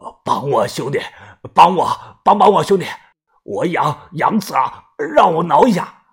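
A man's voice: on the high side, expressive, moderate pace, breathy edge, heavily acted. A man voicing a desperate man in an audiobook who pleads for help. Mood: pleading. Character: man gone mad with itching, pleading.

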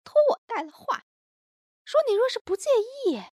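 A woman's voice: very high voice, sing-song, normal speed, a little mellow, dry recording, studio quality. An actress playing a woman of an ancient Chinese household in a period audio drama. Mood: coaxing.

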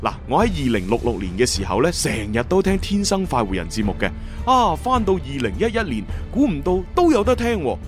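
Guy playing cocky young future agent, hong kong cantonese, bragging radio drama style, really bouncy pitch, music behind. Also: brisk, hardly any pauses. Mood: boastful, excited. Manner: animated, boastful storytelling. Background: background music.

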